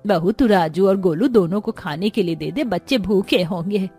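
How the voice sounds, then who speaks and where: Weary, soft old mother-in-law acted by a woman. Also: mid-range voice, normal speed, breathy edge, soft background music, close to an everyday voice. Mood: caring. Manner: resigned, caring. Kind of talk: cartoon moral-story dialogue.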